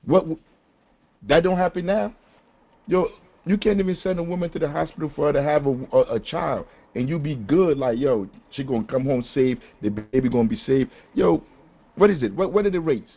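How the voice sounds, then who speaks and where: A man hosting a podcast, talking casually and with animated emphasis. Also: fairly high pitch, brisk. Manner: animated, conversational, rising to exasperated emphasis. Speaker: podcast host. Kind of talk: casual discussion on a call-in podcast. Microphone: phone or conference call. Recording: dull lo-fi call audio.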